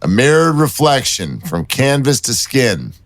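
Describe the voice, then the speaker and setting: An actor playing a wry, persistent city detective who talks in dry, teasing banter. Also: male voice, medium pitch, big pitch swings, unhurried.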